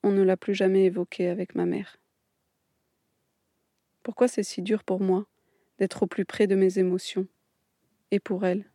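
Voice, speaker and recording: A woman reading a scripted documentary voice-over in a measured, reflective way. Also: mid-range voice, little pitch movement, mellow, no room sound, studio quality. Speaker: documentary narrator.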